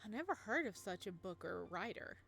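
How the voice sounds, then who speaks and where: A woman's voice: mid-range voice, sing-song, fairly quick, vocal fry, fairly bright tone, full character voice. A woman as a teasing young librarian. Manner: teasing, playful. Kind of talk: reading a visual novel aloud.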